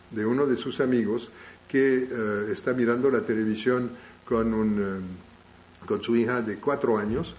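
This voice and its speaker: A man who is not a native speaker of the language gives a live lecture to an audience. He talks in a halting way, restarting phrases often as he thinks aloud. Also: lowish voice, darkish tone, slight background noise. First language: not Spanish.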